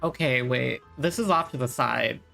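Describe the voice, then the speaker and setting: A woman's voice: extremely low pitch, moderate pace, vocal fry, over music. A woman streamer giving casual live game commentary in muttered reactions.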